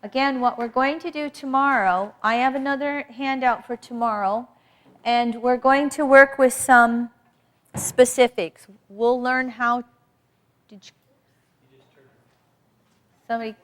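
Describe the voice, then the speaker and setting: A woman teaching an astrology workshop in a loud, emphatic voice, repeating key points for her class. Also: a little high, steady tone, unhurried, pauses often. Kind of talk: workshop lecture.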